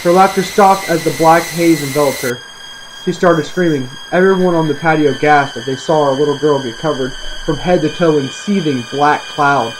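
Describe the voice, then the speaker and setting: A man's voice: fairly high pitch, normal speed. A man reading a creepy story aloud as scripted narration for online listeners. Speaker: storyteller, online video creator. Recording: faint background noise.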